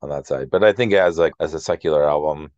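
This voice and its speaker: A man with a very low voice chats casually as a podcast co-host. He speaks haltingly and often rewords his thought midway. The sound is dry and somewhat muffled, a lo-fi recording. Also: a little mellow, clean tone, no echo. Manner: halting, rephrases as he thinks aloud. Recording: dull lo-fi close dry audio.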